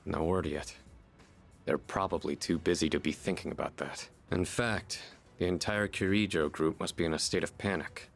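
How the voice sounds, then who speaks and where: A man playing a level-headed senior student leader, steady and matter-of-fact, in an anime-style game dub. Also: low voice, moderate pace, gravelly, faint music behind.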